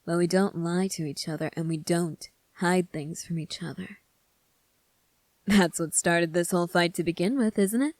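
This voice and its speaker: A woman voicing a sly, teasing young prince in a scripted ASMR roleplay, speaking deliberately and smugly with calm command. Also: fairly low pitch, no echo, natural voice. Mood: calm, probing. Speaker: voice actor.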